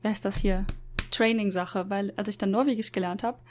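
Woman, standard accent, relaxed chatty podcast guest, laughs easily. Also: mid-range voice, brisk, slight background noise. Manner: chatty, laughs often, self-deprecating. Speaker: university lecturer in Japan. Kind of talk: casual podcast chat.

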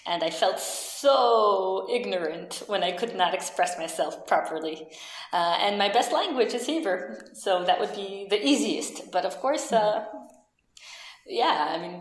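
A woman in her thirties answering interview questions in a halting way, with many fillers and restarts. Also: mid-range voice, expressive, roomy sound, rough recording. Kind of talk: casual conversation.

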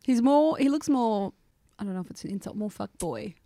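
A woman's voice: medium pitch, lively intonation, a little fast, dark tone, no echo. Young Australian woman, co-host, playful banter, dry close recording. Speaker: podcast co-host. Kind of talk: casual chat.

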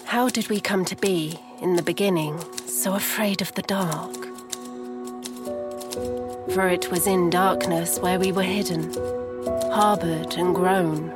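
An actress playing a poised, genteel wife in a gothic noir audio drama, speaking in a measured, formal and grave manner. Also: female voice, fairly low pitch. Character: poised, genteel grieving wife with quiet gravity.

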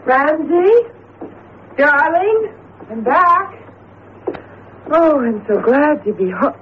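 An actress playing a chatty, affectionate, excitable wife in a radio drama, effusive and teasing. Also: female voice, high-pitched, very slow, full character voice. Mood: cheerful, teasing. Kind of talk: vintage radio drama acting. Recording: muffled lo-fi radio broadcast, faint noise.

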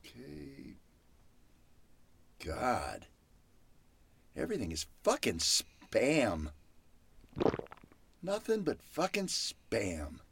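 A man's voice: mid-range voice, wildly swooping pitch, very slow, gravelly, long pauses, heavily acted. An actor playing a weary, jumpy man who mutters and swears to himself in an old-time radio style horror drama. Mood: weary, irritated. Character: weary, jumpy, grumbling man living alone with gadgets. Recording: loud background noise.

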